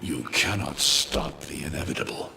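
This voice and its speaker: An actor voicing an ancient, vengeful alien being who speaks in slow, ominous pronouncements. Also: male voice, extremely low pitch, lively intonation, background noise, heavily acted. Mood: menacing. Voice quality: gravelly. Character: ancient menacing sentient being, male, huge, vengeful.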